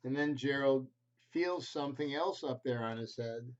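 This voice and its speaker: A man reading a picture book aloud to young children on camera, adding gentle explanatory asides. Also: medium pitch, normal speed. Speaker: children's storyteller. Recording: slightly muffled home video audio.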